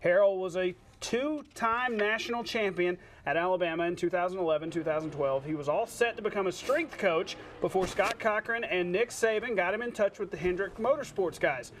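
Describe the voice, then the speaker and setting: A man anchoring a sportscast, reading scripted highlights and trading short banter with a co-anchor. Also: fairly quick, hardly any pauses, fairly bright tone, a little hiss or hum.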